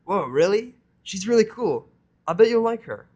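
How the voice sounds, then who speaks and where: A loyal, brash pegasus mare played by a man speaks in a raised, high voice. She comes across as eager and sincere, like a cartoon pony in an audio story. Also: big pitch swings, moderate pace, darkish tone, dull audio. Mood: excited. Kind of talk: fan fiction audio reading.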